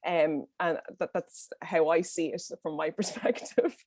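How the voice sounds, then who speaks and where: A woman's voice: sits low, steady tone, a little slow, a little mellow, no echo, clean studio sound. A woman with a Dublin accent speaking earnestly on an online panel over a video call, with frequent fillers and restarts. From Ireland.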